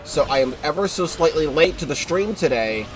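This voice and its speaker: A man's voice: a little high, animated, normal speed, soft background music, poor audio. A congested young man giving rambling, casual commentary while streaming a game live, often correcting himself and swearing offhand.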